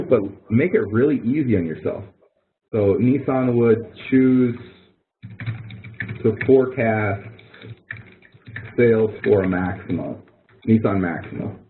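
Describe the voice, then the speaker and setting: A man's voice: medium pitch, slight background noise. A man leading an instructional webinar, explaining things informally in a conversational, coaching way and often repeating phrases. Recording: thin phone-like webinar audio.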